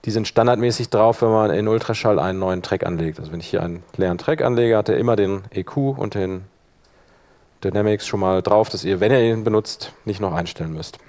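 A man presenting a hands-on software workshop live, informally thinking aloud as he demonstrates each step. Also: lowish voice, brisk.

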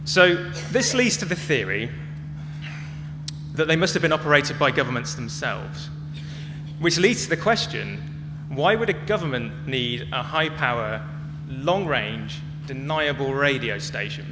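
A man's voice: medium pitch, lively intonation, measured pace. A man speaking as a conference presenter, explaining things in a prepared public talk. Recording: echoey hall with clear hum and noise.

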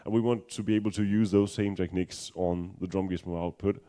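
Low, mellow-voiced Danish man presenting. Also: fairly even intonation, fairly quick. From Denmark. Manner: hesitant, many ums and restarts, dry humor. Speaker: conference speaker. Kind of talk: technical conference talk.

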